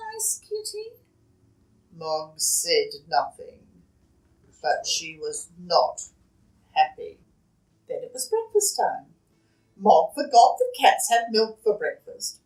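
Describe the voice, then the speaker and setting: Woman, children's story read-aloud, lively swooping pitch, dramatic hushed-to-loud delivery, roomy echo. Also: recorded from a distance. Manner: expressive, dramatic shifts between hushed and loud. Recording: roomy echo, away from the mic.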